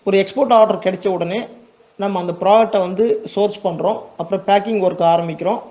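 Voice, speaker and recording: A man's voice: high voice, a little mellow. A man with a Tamil Nadu accent giving an informal step-by-step tutorial, conversational, checking in with listeners and repeating words for emphasis. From Tamil Nadu, India. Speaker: export business owner giving a tutorial.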